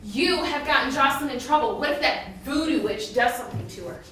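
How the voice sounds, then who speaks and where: A prim female ghost from another century, played by a woman in a drama, high and bright in voice. She scolds indignantly in old-fashioned phrases, heard across an echoing room. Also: animated, moderate pace. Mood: scolding, indignant. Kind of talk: theatrical drama acting. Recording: distant, very echoey room, faint noise.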